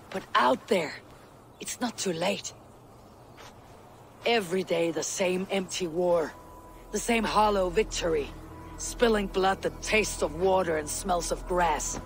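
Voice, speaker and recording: Resolute Viking warrior, solemn. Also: female voice, on the low side, slight background noise. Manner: solemn, deliberate, firm. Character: resolute adult female Viking warrior, loyal and defiant. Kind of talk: dramatic video game acting.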